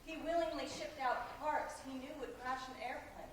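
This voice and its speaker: A woman's voice: high voice, normal speed, natural voice. A woman on stage playing a candid, wounded young woman in a projected theatrical style. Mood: anguished, firm. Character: candid, wounded young woman visiting her sweetheart's family. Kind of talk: projected stage play acting. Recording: echoey hall from far off, noisy.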